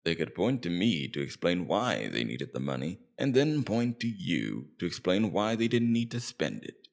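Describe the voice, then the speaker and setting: A man playing a scheming, immortal Demon King in an ASMR roleplay, taunting and persuasive, with theatrical, deliberate emphasis. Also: deep voice, big pitch swings, gravelly, heavily acted. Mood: cynical, scornful. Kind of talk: ASMR roleplay, scripted villain monologue.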